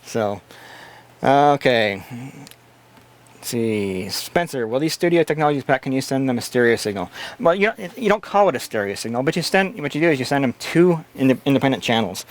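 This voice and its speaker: A man's voice: medium pitch, animated, normal speed. A man hosting a casual tech livestream, answering chat questions and explaining things in a blunt, opinionated way.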